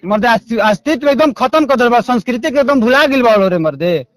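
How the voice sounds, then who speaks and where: Very high-voiced male caller, Bhojpuri accent, argumentative and aggrieved, close dry sound. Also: expressive, brisk, no room sound. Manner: argumentative, aggrieved, repetitive, coarse-mouthed. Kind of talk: confrontational phone call.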